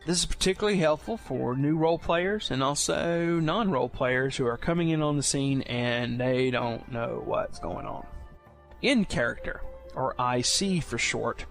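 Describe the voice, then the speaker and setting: Man explaining casually over music. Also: mid-range voice. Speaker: podcast segment presenter. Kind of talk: informal explainer for new players. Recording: music playing behind the voice.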